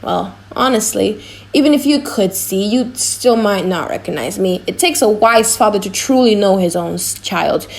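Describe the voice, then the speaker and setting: A woman's voice: medium pitch, animated, moderate pace, creaky. A woman voicing a mischievous, cocky, chatty young male servant in a dramatized audiobook, teasing and mock-grand.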